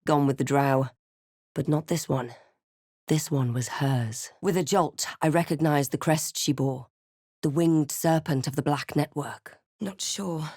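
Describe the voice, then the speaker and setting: A close, dry recording of a female adventurer from a video game. She is wry and quietly guarded, speaking in a lowish register with measured, understated delivery, as if observing and recounting. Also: dry recording. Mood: calm, reflective. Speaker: video game player character. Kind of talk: scripted game voice lines and past-tense narration.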